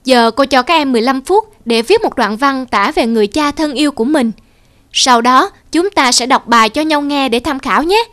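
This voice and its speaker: A woman voicing a gentle, kind young teacher in a cartoon. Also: high-pitched, animated, normal speed. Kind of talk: cartoon voice acting. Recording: music behind voice.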